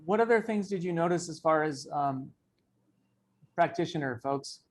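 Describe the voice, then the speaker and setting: A man's voice: a little high, lively intonation, moderate pace. A man facilitating a training discussion on an online video call, prompting participants to share. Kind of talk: leading a group discussion.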